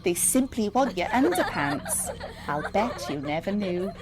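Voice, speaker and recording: A woman reading a picture book aloud to young children, expressive and speaking straight to her listeners. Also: mid-range voice, unhurried, background noise. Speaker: storyteller and teacher reading to children. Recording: music under the voice. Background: music and sound effects.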